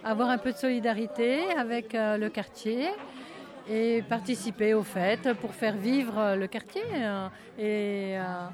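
An older retired woman answering interview questions carefully, with pauses and fillers, at an outdoor neighbourhood party. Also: a little high, fairly even intonation, crowd noise. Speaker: retired resident volunteer. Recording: outdoor party with music behind.

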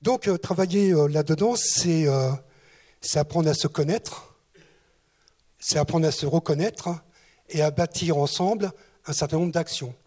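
A man's voice: fairly high pitch, measured pace, long pauses, warm tone. A man who once led a town's youth service, telling his story with many hesitant fillers, noting his accent is not southwestern. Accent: not southwestern French. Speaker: former municipal youth director. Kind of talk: recollections before an audience.

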